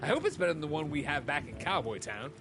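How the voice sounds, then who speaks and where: Video game sidekick: sly, jovial, higher-set and animated, folksy slang, music underneath. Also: male voice, fast talker, background noise. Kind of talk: scripted video game character dialogue.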